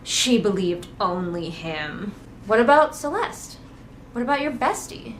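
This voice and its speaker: A woman's voice: medium pitch, sing-song, unhurried, poor audio. Woman doing a casual snarky book rant, kinda exasperated, bit of noise behind her. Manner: exasperated, mocking asides and acted-out quotes. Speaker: book critic hosting a readalong. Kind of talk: casual sarcastic commentary. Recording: noticeable background noise, train outside. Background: ambient noise, passing train at times.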